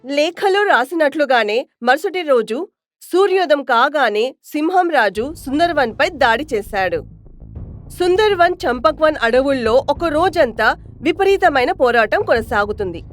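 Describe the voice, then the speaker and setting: A woman's voice: sits high, animated, moderate pace, soft background music. A woman reading scripted narration for a children's animal story.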